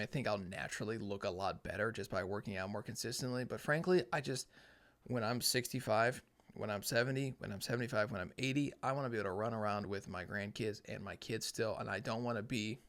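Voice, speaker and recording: A man's voice: mid-range voice, a bit bright. A young man hosting a podcast, talking alone in a casual, earnest, plainspoken way, thinking aloud. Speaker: podcast host. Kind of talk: casual solo talk.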